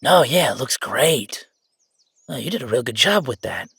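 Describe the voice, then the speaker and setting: Casting: male actor for playful, bossy male ghost roommate, sentimental underneath, very lively pitch, dry close sound, audio roleplay. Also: creaky, husky, no room sound, full character voice. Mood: excited, teasing. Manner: animated, teasing, banter with pauses and repeats. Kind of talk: roleplay audio drama monologue, conversational.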